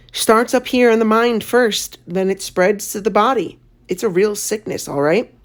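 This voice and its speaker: Woman voicing a brisk, no-nonsense old country doctor. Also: mid-range voice, lightly acted. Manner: curt, matter-of-fact. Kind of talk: children's storybook read-aloud.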